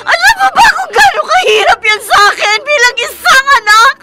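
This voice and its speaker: An actress playing a weary, anguished young adult daughter of a drunkard in a Filipino radio drama, with emotional, dramatic delivery. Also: female voice, extremely high pitch, lively intonation, a little fast, pushed and bright, raised voice, heavily acted. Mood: anguished outburst.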